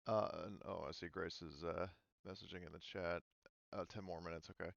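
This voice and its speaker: A man's voice: sits low, fairly even intonation, a little fast, vocal fry, no echo, dull audio. A man hosting a tabletop game group as game master, talking casually and putting questions to his players in an organised, easygoing way.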